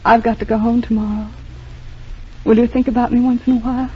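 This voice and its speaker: Husky, earnest young woman, old radio. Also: fairly high pitch, little pitch movement. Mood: wistful, tearful. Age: young adult. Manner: halting, emotional, earnest delivery. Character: earnest young woman torn by love, anguished. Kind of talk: 1940s radio drama acting. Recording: muffled, tinny old radio broadcast.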